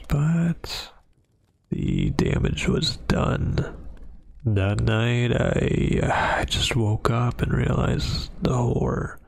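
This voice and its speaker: A man playing a brooding, remorseful werewolf who is a tender, hesitant lover, speaking softly and haltingly in an intimate roleplay ASMR monologue, husky and often near a whisper. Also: medium pitch, heavily acted. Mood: haunted, remorseful. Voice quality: gravelly, husky, breathy.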